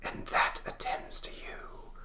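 A man voicing a solemn, grim avenging ghost from a comic book in a slow, breathy whisper. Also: bright, forceful tone, echoey room. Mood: grim, defiant. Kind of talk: comic book read aloud.

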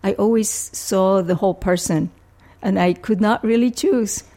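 An older woman from Rico, a family physician answering interview questions in a measured, thoughtful way. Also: mid-range voice, dark tone. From Puerto Rico. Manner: measured, thoughtful, with frequent restarts.

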